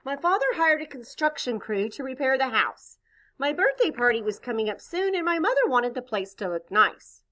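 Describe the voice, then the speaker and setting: A woman reading a children's story aloud expressively, pausing to chat with her listeners. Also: high-pitched. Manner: expressive, pauses to point things out and ask questions. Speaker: storybook reader.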